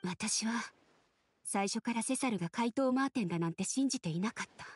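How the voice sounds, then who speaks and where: Breathy, guarded woman in mourning. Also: on the high side, expressive, brisk, a little mellow. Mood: guarded, sad. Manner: curt, polite, withdrawn. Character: guarded, sorrowful grey-haired woman in mourning. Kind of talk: anime game voice acting.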